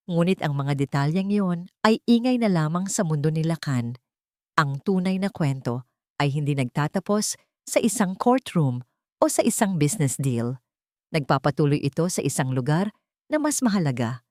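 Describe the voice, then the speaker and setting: A woman narrating a sentimental story from a script, in a measured, solemn and emotive way with short phrases. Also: fairly low pitch, a little mellow, no room sound, studio quality.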